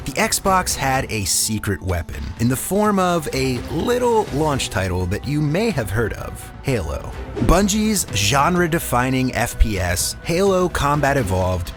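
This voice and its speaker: Animated male narrator over music. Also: medium pitch. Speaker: host and narrator. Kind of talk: scripted documentary narration. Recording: music bed under voice. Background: background music.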